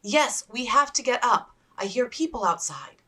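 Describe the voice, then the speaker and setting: A woman voicing a sensible, proper young gentlewoman and elder sister in a period story, firm and exasperated in manner. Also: on the high side, sing-song, normal speed, husky, voice pushed up, heavily acted. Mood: exasperated scolding.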